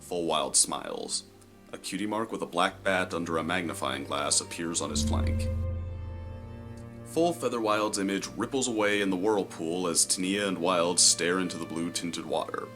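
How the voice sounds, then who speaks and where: A man reading scripted story narration in a measured, descriptive way. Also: sits low, lively intonation, over music.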